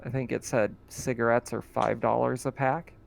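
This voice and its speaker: Gravelly game master, laid-back. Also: male voice, mid-range voice, normal speed. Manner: relaxed, laughs often, explains rules. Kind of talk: running a tabletop game, casual chat.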